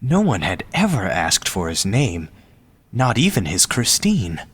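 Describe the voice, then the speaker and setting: A man's voice: fairly low pitch, wildly swooping pitch, a little slow, husky. A man reading scripted story narration as the narrator of an audio drama.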